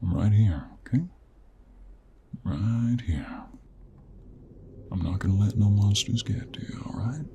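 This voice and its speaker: A man playing a gruff, teasing cowboy monster hunter with a Western American drawl and a gravelly, husky voice, in an intimate audio roleplay. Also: deep voice, measured pace, pauses often, muffled recording, noisy background. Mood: soothing.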